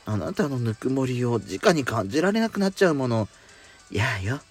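A man's voice: medium pitch, very animated, moderate pace, husky, faint music behind. A man playing a coy, clingy, pampered sweetheart with feminine speech in an intimate bedtime audio drama. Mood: coy, teasing. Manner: coy, teasing, feminine wording.

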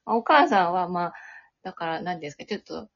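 Quick, husky woman chatting. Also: lowish voice, animated. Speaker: amateur voice actor on talk show. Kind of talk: casual after-show chat. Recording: dull lo-fi audio.

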